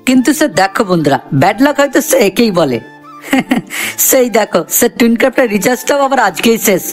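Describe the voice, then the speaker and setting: A man's voice: very high-pitched, big pitch swings, fairly quick. A man voicing an eager comic cartoon sidekick, peppering the talk with repeated filler words. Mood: chatty. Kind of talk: cartoon character addressing viewers. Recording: voice over background music.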